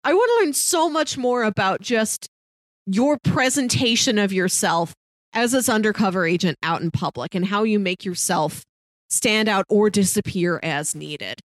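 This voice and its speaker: A woman's voice: medium pitch, moderate pace, no echo. A woman co-hosting a casual discussion about movies. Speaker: podcast co-host reviewing films.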